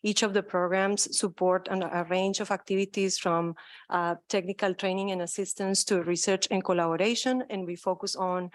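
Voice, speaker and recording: Middle-aged woman, Colombian accent, native Spanish speaker, lowish pitch, dry close sound, halting informal conference presenter. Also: no room sound. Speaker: panel moderator.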